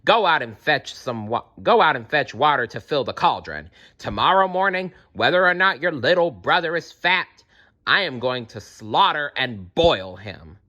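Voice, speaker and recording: A wicked old witch from a storybook, acted out by a man reading aloud. The delivery is commanding and scheming, with forceful tone and lively swings in pitch. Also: moderate pace, highs cut off. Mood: bossy, menacing. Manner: commanding, menacing. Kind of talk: storybook character voice in a read-aloud.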